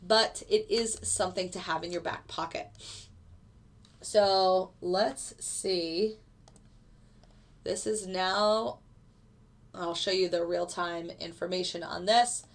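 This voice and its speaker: A woman's voice: on the low side, fairly even intonation, slow pace, long pauses. A woman who teaches trading, casually explaining her settings and walking viewers through a live chart as a trade plays out.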